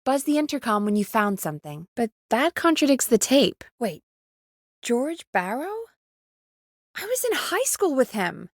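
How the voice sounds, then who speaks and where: A woman's voice: a little high, very animated, measured pace, no echo, studio quality, full character voice. A woman playing a frank, anxious but caring radio producer in a video game, talking in an informal, emphatic, exasperated way. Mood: intent. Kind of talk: conversational video game voice acting.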